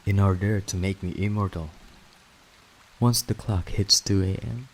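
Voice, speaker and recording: A man's voice: low-pitched, fairly even intonation. A man playing a menacing, taunting villain who feeds on life force, in an amateur radio drama. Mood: menacing, gloating. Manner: taunting, theatrical, deliberate.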